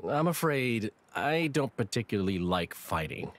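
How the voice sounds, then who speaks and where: An actor voicing a calm, polite, reserved male swordsman and servant in a video game, formal and understated. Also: mid-range voice, normal speed, lightly acted. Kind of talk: video game cutscene acting.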